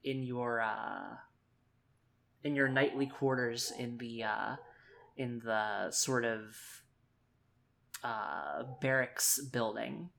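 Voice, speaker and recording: A man's voice: mid-range voice, very slow, vocal fry, pauses often, sharp tone. A man narrating a tabletop roleplaying game as game master, hesitant and searching for words as he improvises.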